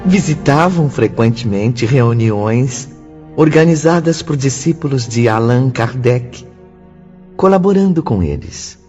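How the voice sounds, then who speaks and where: A man's voice: mid-range voice, lively intonation, measured pace. A man with a Brazilian accent giving solemn scripted narration as a radio drama narrator. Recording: reverberant, dull lo-fi, music bed.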